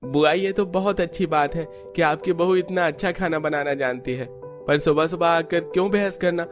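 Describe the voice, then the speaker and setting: A man playing a young son and family peacemaker in a cartoon. His voice is fairly high, politely reasoning and coaxing, with music clearly behind it. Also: moderate pace, natural voice. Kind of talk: Hindi cartoon story dialogue.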